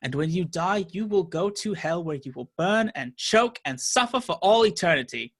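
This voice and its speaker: A man's voice: high voice, lively intonation, normal speed. A man playing a cruel, pompous medieval sheriff in a radio play, preaching law and order with menace. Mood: menacing, preaching.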